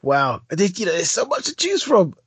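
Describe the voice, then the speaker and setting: British male co-host, husky, high and animated, dry close recording. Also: moderate pace, no echo. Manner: excitable, laughs a lot, swings up and down in pitch. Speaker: podcast co-host. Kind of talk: casual chat.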